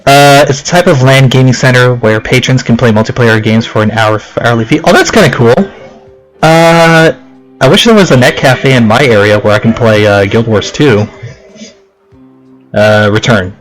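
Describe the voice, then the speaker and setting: A man's voice: medium pitch, moderate pace, faint music behind, rough recording. A young man giving casual, rambling let's play commentary, chatting and reading game text aloud.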